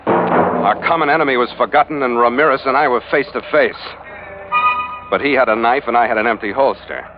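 A man's voice: medium pitch, a little fast, natural voice. An actor playing a tough ship's skipper, narrating his adventure urgently in old-time radio style. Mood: tense, grim. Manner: intense, urgent, terse. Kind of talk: dramatic first-person narration, old-time radio acting. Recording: muffled vintage radio recording with loud music.

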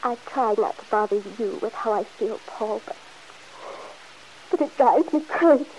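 A woman's voice: a little high, a little slow, darkish tone, lightly acted, poor audio. An actress playing a fretful, guilt-torn young mother in an old-time radio soap opera, hesitant and pleading. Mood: anxious, wistful. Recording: hissy vintage radio broadcast recording.

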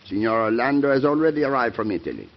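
An actor playing a courteous, cynical, hard-nosed old French statesman with measured formality in a radio drama. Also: medium pitch, sing-song. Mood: courtly. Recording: muffled old radio broadcast recording.

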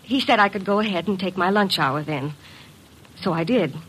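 An actress playing an earnest, worried young secretary in a classic radio drama, speaking politely and hesitantly. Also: female voice, on the low side, moderate pace, a bit bright, a little hiss or hum, natural voice, rough recording.

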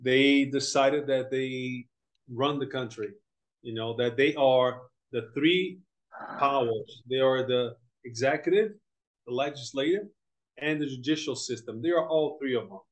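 Man from brazil, podcast guest, halting speech, lots of fillers, middle pitch. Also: low-quality recording. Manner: halting, many fillers and restarts. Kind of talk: casual podcast conversation.

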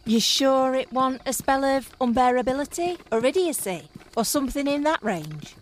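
A woman acts out a sharp-tongued female elf in a fantasy comedy audio drama. The voice is high and very expressive, blunt, impatient and sardonic. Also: normal speed, slight background noise.

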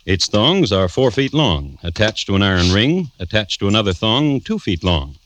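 A man narrating a vintage radio crime drama as host, deliberate and drily droll. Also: fairly low pitch, sing-song, a bit bright. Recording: noisy old radio recording.

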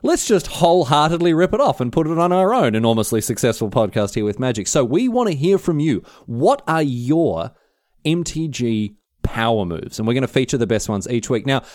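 A man's voice: mid-range voice, very animated, brisk, a little mellow, no room sound, clean studio sound. A young man with a city Australian accent, co-hosting a podcast in a casual, joking way with lots of restarts. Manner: chatty, joking, many restarts. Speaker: podcast co-host. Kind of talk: casual chat.

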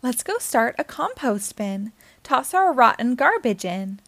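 A woman's voice: on the high side, sing-song, unhurried, a bit bright, dry recording, full character voice. A woman voicing a helpful female snake who explains things in a storybook. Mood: surprised, instructive. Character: female tree snake, surprised, helpful teacher.

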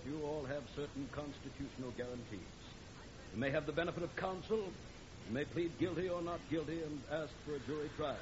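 An actor playing a stern, lecturing court judge, formal and authoritative, with an American accent, in 1940s radio comedy. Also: male voice, on the high side, close to an everyday voice. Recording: muffled, noisy old radio recording.

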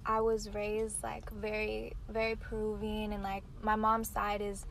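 Young woman, casual chat lead, soft and filler-heavy, ordinary pitch, faint noise behind. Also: monotone, unhurried, gravelly. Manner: frequent "like" fillers, soft-spoken. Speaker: discussion leader.

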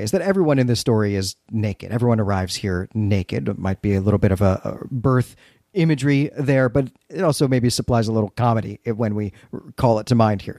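A man's voice: mid-range voice, darkish tone, no room sound, clean studio sound. A man co-hosting a podcast, recapping and discussing a story in a conversational way with wry, dryly humorous asides. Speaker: podcast co-host.

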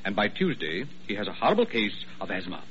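An actor playing a fussy, anxious hospital administrator doctor in old radio drama, deferential and emphatic. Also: male voice, fairly low pitch, sing-song, fast talker, fairly bright tone. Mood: portentous. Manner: fussy, fretful, emphatic repetition. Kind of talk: 1940s radio drama acting. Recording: muffled old radio recording, faint noise.

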